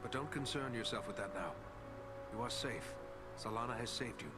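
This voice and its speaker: A steady fantasy game ranger acted by a man, with a medium-pitched voice. He is courteous and protective, speaking in measured, formal phrases while music plays loudly behind him. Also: close to an everyday voice. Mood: courteous, reassuring. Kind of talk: video game cutscene acting.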